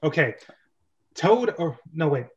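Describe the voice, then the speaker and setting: High-voiced man narrating, slightly muffled. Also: sing-song, slow. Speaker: narrator and host of a script reading. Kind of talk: amateur dramatic reading of stage directions with casual asides. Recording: dull, lo-fi voice recording.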